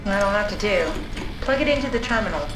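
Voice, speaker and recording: A woman's voice: medium pitch, expressive, a little fast, background noise, natural voice. Woman as a pragmatic robot scientist, echoey. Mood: businesslike. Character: brisk, pragmatic female scientist living as a robot. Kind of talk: video game voice acting.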